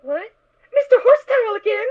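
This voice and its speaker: A woman's voice: extremely high pitch, sing-song, normal speed. A woman voicing a naive, cheerful little filly pony in an audio drama, bubbly, childish and stubborn. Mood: cheerful, curious. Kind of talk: fan audio drama acting. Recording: tinny, narrow phone-like audio.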